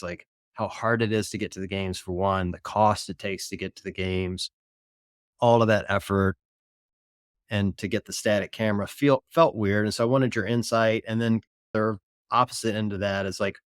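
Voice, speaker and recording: A man's voice: lowish voice, steady tone, moderate pace, no room sound, clean studio sound. A man hosting a podcast, chatting in a rambling, laid-back way with his guest. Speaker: podcast host. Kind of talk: casual chat.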